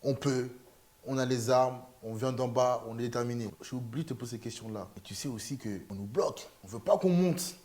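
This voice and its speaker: Male interviewer, mid pitch, animated and encouraging. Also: a little fast. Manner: lively, encouraging, rising emphasis, some stumbles. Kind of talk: casual interview questions.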